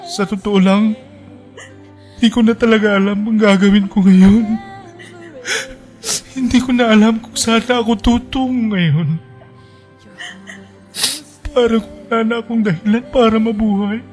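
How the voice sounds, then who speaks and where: A man's voice: high voice, fairly even intonation, a little slow, pauses often, a little mellow. An actor playing a devoted, sentimental young male lover in a radio drama, with an earnest way of talking. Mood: pleading, heartbroken. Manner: teasing endearments, pleading and sentimental. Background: background music.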